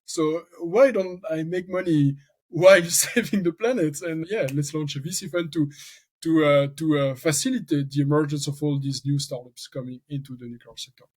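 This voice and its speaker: A talkative man with a strong Belgian French accent, speaking in a fairly high voice. He thinks aloud as he goes, restarting his phrases in a relaxed podcast conversation. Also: moderate pace. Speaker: venture capital founder, guest. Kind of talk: casual podcast interview.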